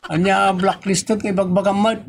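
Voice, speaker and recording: A man plays a cheeky grown son in an Ilocano comedy audio drama. His voice sits fairly high, with an Ilocano accent. He argues brashly and without shame. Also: little pitch movement, moderate pace, creaky, background noise. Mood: indignant.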